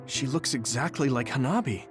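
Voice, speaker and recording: A man's voice: medium pitch, normal speed, music in the background, close to an everyday voice. A man playing an earnest, straightforward young recruit in a video game. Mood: serious. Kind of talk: English video game voice acting.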